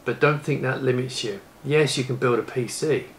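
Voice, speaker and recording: A man's voice: medium pitch, moderate pace. Man in his fifties, noisy recording. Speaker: YouTube presenter and computer case maker. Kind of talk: casual retro computing vlog with product pitch. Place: indoor rooms with shelves of retro computers.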